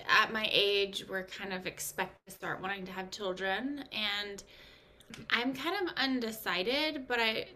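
A woman's voice: medium pitch, sharp tone, slight background noise. A woman in her thirties, a coach as guest, speaking earnestly and openly. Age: 30s. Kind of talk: casual interview talk.

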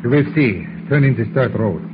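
An actor playing a domineering, villainous German spy doctor with a precise, condescending manner, in 1940s radio style. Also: male voice, medium pitch, lively intonation, moderate pace, lightly acted. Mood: commanding. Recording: muffled noisy vintage radio recording.

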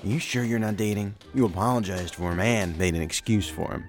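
Man playing a gruffly blunt agent, low-pitched, audio drama, impatient, terse, music bed. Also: brisk, close to an everyday voice. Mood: needling. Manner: terse, needling. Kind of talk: science fiction audio drama acting.

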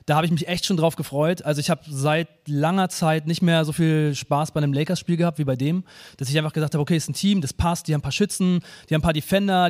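A man's voice: on the high side, darkish tone. A man talking sports casually and in slangy, colloquial words as a guest on a live podcast. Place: live stage show.

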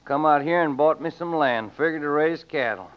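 A man's voice: fairly high pitch, moderate pace, clear, resonant tone, lightly acted. A man playing a wronged, plainspoken homesteader with a folksy American Western accent in an old radio western. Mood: earnest, aggrieved. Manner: folksy, plain country talk. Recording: dull vintage radio recording.

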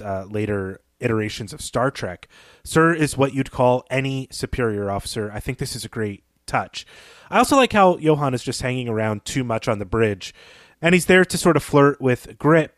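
A man's voice: medium pitch, no room sound, clean studio sound. A man co-hosting a literary podcast, talking through a story in a conversational, thoughtful, explanatory way. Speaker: podcast co-host.

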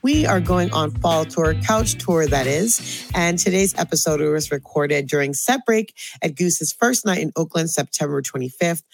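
A woman hosting a podcast, her voice a little raspy after a late night, chatting in an upbeat way with many fillers. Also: deep voice, animated, normal speed, soft background music. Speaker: podcast host. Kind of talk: casual podcast chat.

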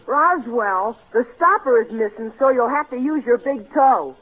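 A high-voiced, slangy young boy from a vintage radio comedy. He is eager, wheedling and grumbling, full of gee-whiz exclamations. The recording is tinny and narrow. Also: expressive, a little slow, husky. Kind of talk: 1940s radio comedy acting.

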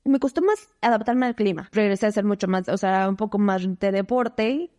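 A woman in her thirties from Mexico City with a Mexican Spanish accent, a guest talking expressively in quick bursts with lots of fillers. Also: mid-range voice, mellow. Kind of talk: casual conversational interview. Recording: dull lo-fi recording.

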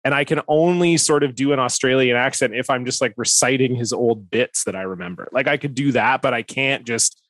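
A man's voice: medium pitch, a little fast, few pauses, fairly bright tone, dry recording. Rambling man hosting a podcast. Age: 30s. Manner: rambling, self-deprecating, swears casually. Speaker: podcast host. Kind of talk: casual podcast chat.

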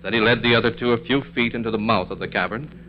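A man narrating a scripted 1940s radio mystery in a formal, measured storytelling manner. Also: medium pitch, fairly even intonation, slight background noise. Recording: muffled 1940s radio recording.

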